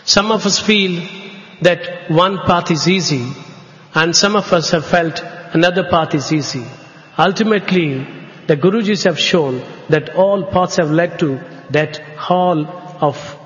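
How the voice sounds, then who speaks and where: A man from India with an Indian English accent, a native Telugu speaker, giving a measured devotional talk at a spiritual gathering. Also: a little high, a bit bright. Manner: slow, measured, drawn-out phrases. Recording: echoey hall, dull lo-fi sound.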